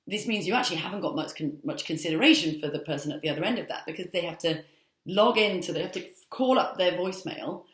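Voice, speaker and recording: A woman's voice: on the low side, quick pace, fairly bright tone. A woman in her thirties from England, giving a chatty, reflective spoken monologue with frequent ums and restarts. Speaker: speaker giving a practice speech. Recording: echoey room.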